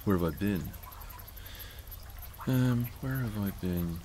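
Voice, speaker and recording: An actor playing a resentful, sidelined partner in a radio drama, muttering in a halting, sardonic way. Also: male voice, lowish voice, mellow, slight background noise. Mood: brooding, resentful. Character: brooding, resentful man, sidelined partner of a writer.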